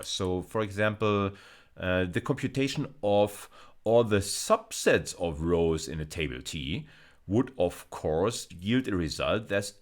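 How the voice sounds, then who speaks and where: A man lecturing in an explanatory style, pausing and repeating words as he goes, with emphatic stresses. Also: low voice. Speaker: university lecturer.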